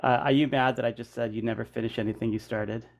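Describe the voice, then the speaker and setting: A man's voice: medium pitch, fairly even intonation, quick pace, gravelly. A middle-aged man hosting a casual interview, talking informally and banteringly, with plenty of "like" and "man". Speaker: interview host.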